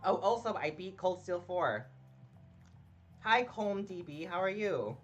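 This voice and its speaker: A man's voice: high voice, lively intonation, a little slow, dull audio, soft background music. A man casually commenting on a game he is playing live, chatting with viewers and greeting them by name, often laughing at himself. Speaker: streamer.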